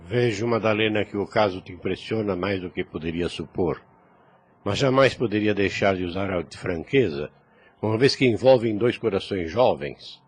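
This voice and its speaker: A man's voice: on the low side, moderate pace, slightly rough. An actor playing a kindly, frank older priest in a radio drama, formal and fatherly. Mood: frank, grave.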